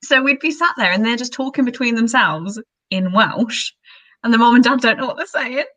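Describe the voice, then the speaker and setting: Bright-voiced woman telling a story. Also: fairly high pitch, normal speed. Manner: relaxed storytelling, laughs easily. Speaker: co-host. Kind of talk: casual chat on a live stream.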